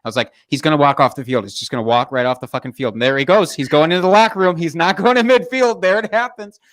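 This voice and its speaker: A man's voice: fairly high pitch, fast talker, a bit bright. A man co-hosting a casual sports chat, wry, laughing often and swearing freely. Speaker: podcast co-host.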